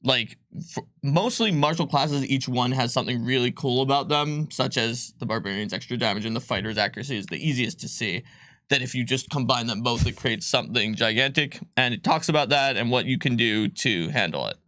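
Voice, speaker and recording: A man's voice: medium pitch, a little fast, pushed and bright, dry recording. A man casually explaining tabletop game rules on a livestream, rambling with lots of likes and ums. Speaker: game designer presenting rules.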